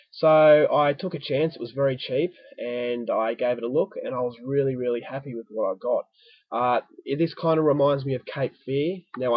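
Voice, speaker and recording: A man's voice: mid-range voice, no room sound. A man with a stuffy, congested voice, reviewing a film in a casual chatty way and repeating words for emphasis. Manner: conversational, doubles words for emphasis. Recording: dull, dry lo-fi home recording.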